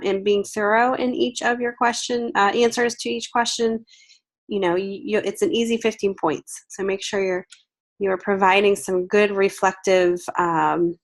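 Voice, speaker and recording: A woman teaching a recorded online course, a bit nasal from a head cold, chatty and reassuring with many fillers. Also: medium pitch. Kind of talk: recorded lecture.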